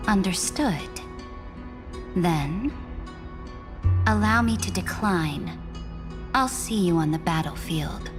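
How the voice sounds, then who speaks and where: An actress playing a proud, resolute female monarch in a game, speaking in a measured, commanding way. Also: lowish voice. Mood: regal. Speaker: game character. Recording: game cutscene with music underneath.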